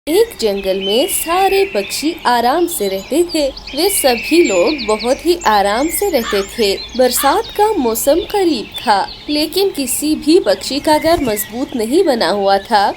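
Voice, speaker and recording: A woman narrates a children's tale at a slow pace with a very animated melody. There is clear background noise. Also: sits high. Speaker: story narrator. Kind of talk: scripted children's story narration.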